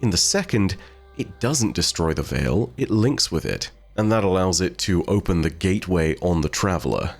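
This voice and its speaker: A man giving explanatory video game lore commentary to viewers in a measured, articulate, conversational way. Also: deep voice. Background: faint music.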